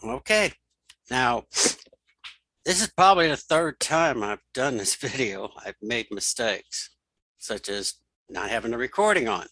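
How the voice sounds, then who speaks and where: A middle-aged man hosting a screen reader software tutorial, informally thinking aloud and repeating key steps. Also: medium pitch, expressive, measured pace, no echo. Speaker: tutorial host and web developer.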